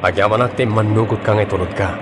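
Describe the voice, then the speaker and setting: An actor with a Filipino Ilocano accent playing a polite, determined suitor of twenty-three in a radio drama, with a husky voice. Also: male voice, on the low side, steady tone, fast, loud music behind the voice, natural voice. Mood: earnest, reassuring. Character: earnest 23-year-old suitor, polite and determined.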